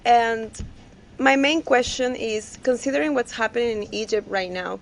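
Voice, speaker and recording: A woman from Peru, a student asking a question haltingly as she searches for words. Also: on the high side, a little hiss or hum.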